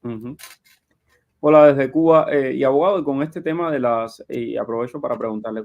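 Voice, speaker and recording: Male live-show host, mid-pitched voice. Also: gravelly, phone-quality sound. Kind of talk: live Q&A, reading audience questions aloud.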